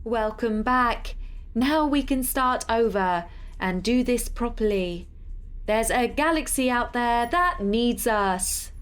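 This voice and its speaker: A woman playing a level-headed artificial intelligence who leads with measured reasoning in an audio drama. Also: a little high, lively intonation, a little hiss or hum. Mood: calm.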